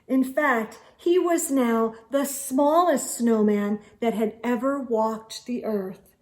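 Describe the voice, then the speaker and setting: A woman storyteller reading a children's book aloud expressively, with deliberate phrasing and dramatic emphasis. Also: on the high side, a little mellow.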